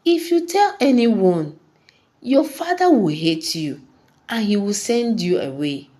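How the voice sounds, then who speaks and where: A menacing seventeen-year-old uncle from a storybook folktale, acted out by a woman narrator. He bullies and commands, his threats delivered slowly with strong swings in pitch. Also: very animated, warm tone. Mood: threatening.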